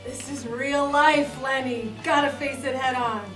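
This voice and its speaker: Comedy table read: female performer as wisecracking male pal, high voice, distant mic with music behind. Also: fairly even intonation, measured pace, echoey room. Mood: teasing. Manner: wisecracking, loose. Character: teasing middle-aged male comedy leading man, sarcastic pal.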